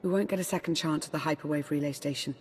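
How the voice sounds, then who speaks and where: An actress playing a cool, pragmatic advisor in a video game, speaking in a composed, dry, measured way. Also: female voice, low voice, natural voice. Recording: reverberant game hall.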